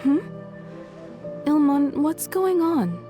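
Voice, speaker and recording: An actress playing a gentle, polite young wife and mother in a video game, speaking tenderly. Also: sits high, sing-song, slow, over music, exaggerated voice. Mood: puzzled, soothing. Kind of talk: animated video game voice acting.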